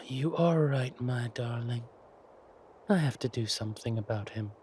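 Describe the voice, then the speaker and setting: A man acting a menacing, silkily mocking villain king in an audio roleplay, taunting with deliberate words and drawn-out pauses. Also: medium pitch, a little mellow, a little hiss or hum, natural voice. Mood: threatening.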